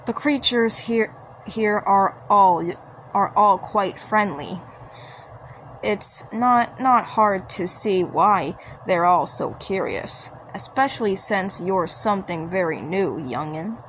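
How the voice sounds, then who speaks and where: A gentle mushroom creature played by a woman speaks slowly and kindly in a fan cartoon story. The delivery is deliberate and halting, over faint noise. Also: medium pitch, animated, low-quality recording. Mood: gently amused. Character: kindly, unhurried mushroom person greeting a young guest.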